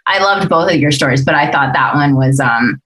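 A woman's voice: low voice, brisk, fairly bright tone, echoey room, rough recording. A woman who hosts and voice-acts on a podcast, chatting casually. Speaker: podcast voice actor and host.

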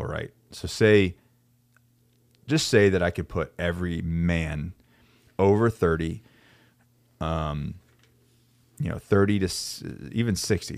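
Deep-voiced guy hosting a podcast, earnest, keeps restarting, dry close sound. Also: creaky, no room sound. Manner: earnest, restarts often, talks about men leading by example. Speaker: podcast host. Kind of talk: casual podcast conversation.

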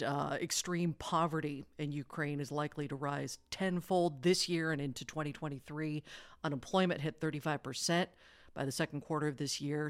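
A woman hosting an interview, asking composed, clearly phrased questions. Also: sits low. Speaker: interviewer host.

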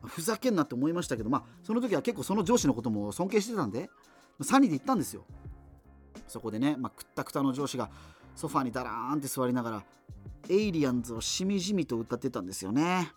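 An actor playing a wry, self-deprecating radio host in a doomed future, reminiscing in a digressive, hesitant conversational monologue. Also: male voice, mid-range voice, big pitch swings, fast talker, faint music behind, lightly acted. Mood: reflective, wry.

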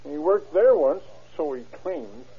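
An actor playing a disgruntled filling-station owner in an old radio drama, talking in a blunt, slangy, folksy way. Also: male voice, on the high side, animated, a little slow, husky, exaggerated voice. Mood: aggrieved. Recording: muffled, phone-like old radio recording.

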